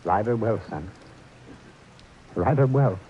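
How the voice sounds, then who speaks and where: An actor playing a gentle, soft-spoken old-time hoodlum who talks in a slow, mild drawl, in 1940s radio acting. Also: male voice, fairly low pitch, expressive, dull audio, slight background noise.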